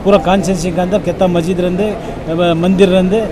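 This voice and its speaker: A high-pitched male voice with a Bangalore accent gives informal remarks to the press. The phrasing is halting and colloquial, with a busy crowd around him. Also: flat delivery. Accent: Bangalore, South Indian. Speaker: politician. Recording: crowded noisy urban surroundings.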